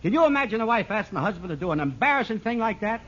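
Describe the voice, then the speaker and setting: An actor playing a mild, fussy, henpecked husband with a prim, earnest manner in an old radio sitcom. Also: male voice, high voice, sing-song, very fast, a bit bright, exaggerated voice. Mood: indignant, prim. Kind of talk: mid-century radio sitcom acting. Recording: muffled, noisy vintage radio recording.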